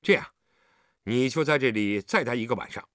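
一名男子在有声故事中扮演一个和善、护着孩子又不失坚定的成年男子，语气循循善诱。. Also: male voice, mid-range voice, moderate pace, fairly bright tone, no echo, clean studio sound, lightly acted. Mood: caring, worried. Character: kind, protective grown man, teasing yet firm.

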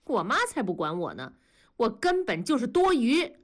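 A woman reading a story aloud, voicing an upset teenage girl who shouts accusingly at her father. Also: very animated, normal speed. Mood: angry, tearful. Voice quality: shrill, accusing.

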